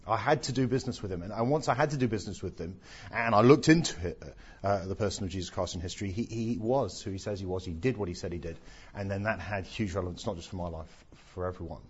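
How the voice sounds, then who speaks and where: Middle-aged english guy, husky lowish voice, dry jokes, lots of ums, bit muffled. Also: fast. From England. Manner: self-deprecating, dry humour, many ums and uhs. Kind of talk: onstage interview, personal testimony.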